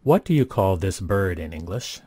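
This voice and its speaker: A man reading English example sentences for a lesson, each one said twice in an even, deliberate way. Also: sits low, husky.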